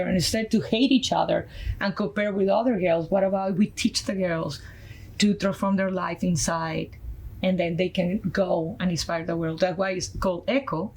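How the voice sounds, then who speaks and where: A woman from Colombia with a Colombian Spanish accent, a Spanish native speaker, telling her story earnestly as a podcast guest, pausing often with fillers. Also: fairly low pitch, a little mellow, low-quality recording. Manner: earnest, halting, many fillers. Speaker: guest, nonprofit founder. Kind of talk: podcast interview, personal story.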